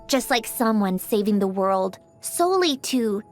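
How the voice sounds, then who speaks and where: An actress voicing a composed, refined young woman in a game, gently haughty and reflective, with formal, measured, eloquent diction. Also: mid-range voice, animated, faint music behind, natural voice. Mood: wistful, earnest. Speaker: voiced game character. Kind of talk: scripted character voice lines.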